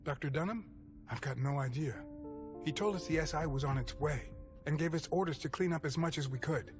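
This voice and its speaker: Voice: medium pitch, fairly quick, natural voice. Curt vampire underling over game music, acted. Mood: businesslike, uneasy. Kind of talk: video game drama acting.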